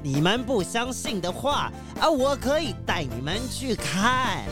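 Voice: medium pitch, sing-song, measured pace, over music. An actor playing a flustered, evasive male ship captain in a children's radio drama, hesitant and protesting. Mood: pleading.

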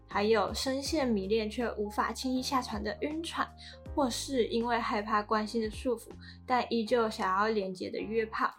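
A woman's voice: fairly high pitch, normal speed, a bit bright, faint music behind. A woman with a Taiwanese accent presenting a podcast in a conversational style as co-host.

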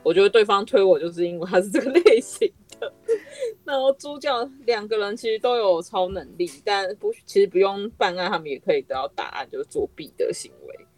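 A woman's voice: mid-range voice, lively intonation, fairly quick. A woman with a Taiwanese Mandarin accent, hosting a casual podcast chat. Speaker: podcast host.

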